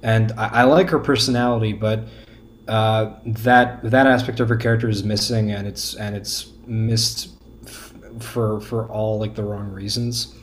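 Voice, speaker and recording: A man as a podcast guest giving casual critique, halting and repeating words as he goes. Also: lowish voice, little pitch movement, slight background noise.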